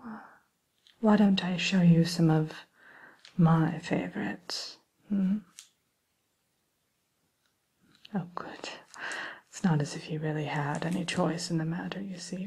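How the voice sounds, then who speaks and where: Woman acting out evil queen shopkeeper, very breathy whisper, slow and unhurried, sly, coy, genteel menace, ASMR roleplay. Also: deep voice, a little mellow, exaggerated voice. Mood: coy, teasing. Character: sly, lonely evil queen selling cursed caramel apples. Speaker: roleplay shopkeeper addressing a customer.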